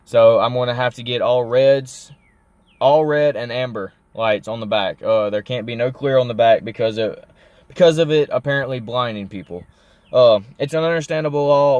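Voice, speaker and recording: A man's voice: medium pitch, darkish tone, no room sound, dull audio. A young adult man talking casually in an unscripted car vlog, explaining things in a folksy, rambling way. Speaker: car vlogger. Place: inside a parked car.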